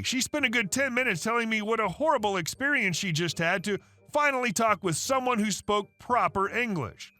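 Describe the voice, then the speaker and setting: High, bright male storyteller. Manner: steady read-aloud delivery. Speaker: narrator of online stories. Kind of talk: scripted story narration. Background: faint background music.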